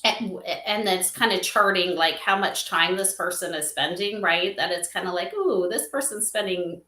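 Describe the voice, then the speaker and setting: A middle-aged woman giving conversational interview answers as a podcast guest, animated and emphatic, often checking in with "right?". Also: lowish voice, moderate pace, echoey room, poor audio. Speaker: podcast guest, spiritual advisor for an AI company.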